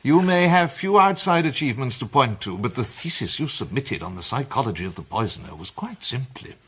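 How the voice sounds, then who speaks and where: Pompous husky English club president. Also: male voice, mid-range voice, big pitch swings, a little fast, fairly bright tone, exaggerated voice. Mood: grandly proud. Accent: English, upper-middle-class. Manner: formal, oratorical, savouring his phrases. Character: pompous, self-satisfied male president of a gentlemanly detective club. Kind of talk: 1920s-set British radio play acting.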